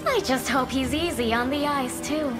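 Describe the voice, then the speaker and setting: Woman playing a high-voiced haughty young noblewoman. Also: moderate pace, natural voice. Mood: eager, cocky. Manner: theatrical, imperious, coquettish. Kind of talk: video game cutscene acting. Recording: loud game music behind. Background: game music.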